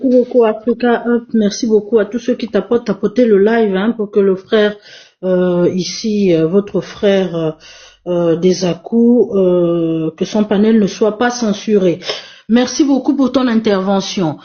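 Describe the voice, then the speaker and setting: A woman's voice: mid-range voice, steady tone, unhurried, mellow. A woman whose first language is Portuguese, moderating a live panel and urging her listeners with emphatic, repetitive appeals. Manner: emphatic, repetitive, exhorting the audience. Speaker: live stream moderator and activist. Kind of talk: impassioned live panel talk. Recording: dull lo-fi live stream audio.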